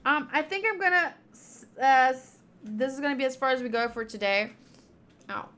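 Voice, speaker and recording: A woman's voice: sits high, normal speed, a bit bright. A woman giving casual visual novel playthrough commentary, chatty and thinking aloud as she reads the text. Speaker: game streamer.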